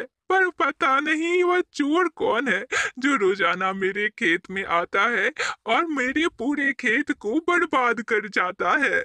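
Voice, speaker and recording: Cartoon male crow or pigeon, female performer: theatrical, sharp-toned, slow and highly animated. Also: heavily acted. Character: scheming male crow and aggrieved male pigeon of a bird fable. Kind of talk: children's cartoon dialogue.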